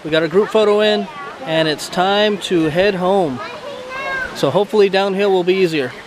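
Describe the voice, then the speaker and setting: A man's voice: sits high, moderate pace. A man in his forties chatting casually to a handheld camera held at arm's length while he walks outdoors. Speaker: vlogger. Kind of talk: casual vlog narration. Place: outdoors on a hiking trail and in a parking lot. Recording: outdoor camera audio with steady background noise.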